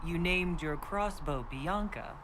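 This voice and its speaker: Game role: questioning male fantasy hero, plain delivery, fairly high pitch, heavy background noise. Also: takes it slowly. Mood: lightly amused. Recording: game dialogue under very loud noise.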